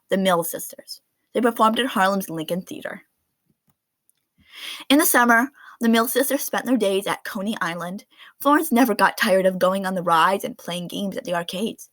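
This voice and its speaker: Lady doing an expressive kids storybook read-aloud, medium pitch, dry sound with no room echo. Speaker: read-aloud narrator of children's books.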